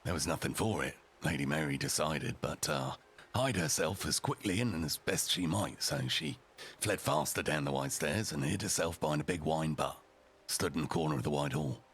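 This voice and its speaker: A man voicing a rough and ready, foul-mouthed storyteller, narrating a storybook tale theatrically with deliberate, dramatic pauses. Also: very deep voice, lively intonation, a bit bright, exaggerated voice. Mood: gruff, dramatic.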